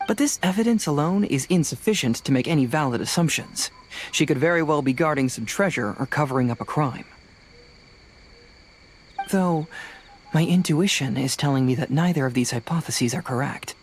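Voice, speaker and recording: A woman playing a calm, fearless young investigator in a video game, speaking in a methodical, matter-of-fact way. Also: deep voice, normal speed, slight background noise. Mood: analytical.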